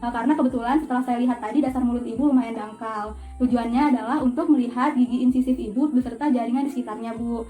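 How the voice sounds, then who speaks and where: High-voiced, mellow young woman. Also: fairly even intonation, fast talker, faint music behind, rough recording. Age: young adult. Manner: orderly, polite, step-by-step. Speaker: dental student demonstrating a radiography procedure. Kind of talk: instructional demonstration narration.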